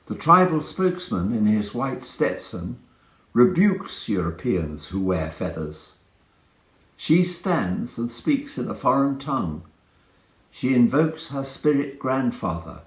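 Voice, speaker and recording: A man reads verse aloud like a dramatic storyteller. His pace is slow and his pitch swings freely up and down. The room around him adds a clear echo. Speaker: poet reading aloud. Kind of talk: poetry reading. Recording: roomy, echoing sound.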